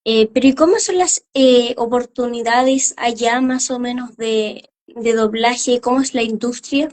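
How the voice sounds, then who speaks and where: A woman with a fairly high voice hosts a live stream and asks questions casually. She talks in a chatty, easygoing way and fills pauses with "eh" and "ujú". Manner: warm, chatty, uses fillers "eh", "ujú".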